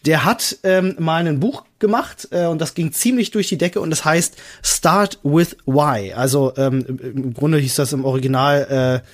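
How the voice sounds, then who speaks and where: A man's voice: on the high side, measured pace, hardly any pauses. A man co-hosting a podcast in casual chat, talking enthusiastically and leaning on emphatic, repeated intensifiers. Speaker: podcast co-host.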